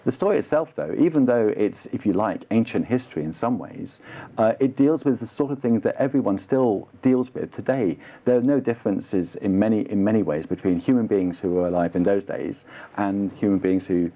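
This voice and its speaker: Deep-voiced British man being interviewed. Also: fairly quick. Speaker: actor and drama teacher, interview guest. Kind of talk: conversational interview answers. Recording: dull, muffled lo-fi audio.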